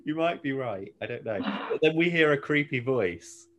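A man co-hosting a podcast, telling the story of an episode in an informal, halting, conversational way. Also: mid-range voice, lively intonation, a bit bright, highs cut off, slight background noise. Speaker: podcast co-host. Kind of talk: casual episode recap, conversational.

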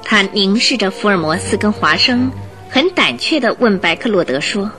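A woman's voice: medium pitch, sing-song, normal speed, bright, forceful tone. A woman narrating a radio drama in a scripted, suspenseful storytelling style, posing questions expressively. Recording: faint music behind narration. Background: faint music.

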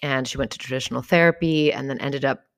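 A woman's voice: a bass voice, steady tone, quick pace. A young woman hosting an interview podcast, enthusiastic and swearing casually as she introduces her guest. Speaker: podcast host.

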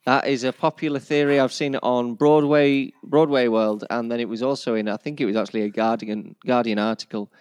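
A man's voice: mid-range voice, fairly even intonation, a little fast, hardly any pauses, darkish tone, smooth, dry recording. A man with a Yorkshire accent hosting a casual podcast chat. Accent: Yorkshire English. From Yorkshire, England. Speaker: podcast host.

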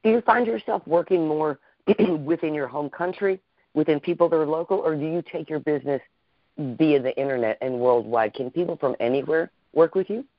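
A man's voice: on the high side, brisk, dry recording. A man hosting a podcast interview, effusive and gushing, often repeating himself. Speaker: podcast host. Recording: dull lo-fi call audio.

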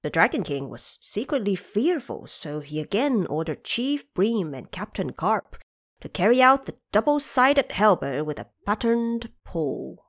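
Woman narrator, audio drama storytelling, unhurried, lively pitch swings, dry studio-like sound. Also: no room sound.

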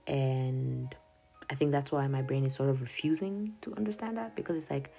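A woman's voice: extremely low pitch, normal speed, gravelly, warm tone. A young woman whose first language is Swahili, rambling through her journal notes in a casual podcast. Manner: rambling, self-interrupting, with frequent laughs and "yada yada". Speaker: podcast host. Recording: faint music behind the voice.

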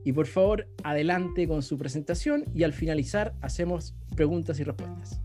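A woman's voice: sits low, animated, moderate pace, warm tone, soft background music. Woman hosting an online talk. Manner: formal welcome with hesitations. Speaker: host of the talk series.

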